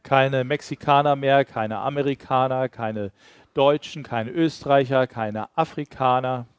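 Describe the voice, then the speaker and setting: A man's voice: mid-range voice, unhurried, clear, resonant tone, no room sound, studio quality. A man interpreting a sermon live, rendering it phrase by phrase after the preacher.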